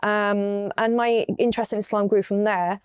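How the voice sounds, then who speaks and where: A young woman from Wales with an English accent, unhurried and full of ums as she tells her story in an interview. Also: mid-range voice, level tone, smooth, dry recording, studio quality. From Wales, raised in East London. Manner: unhurried, many ums and restarts. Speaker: guest.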